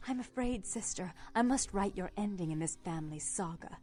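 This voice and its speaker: A woman's voice: mid-range voice, animated, moderate pace, darkish tone, soft background music, close to an everyday voice. An actress playing a cold, controlling vampire businesswoman in a video game, speaking in a formal, composed, condescending way. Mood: coldly regretful. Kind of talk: video game character acting.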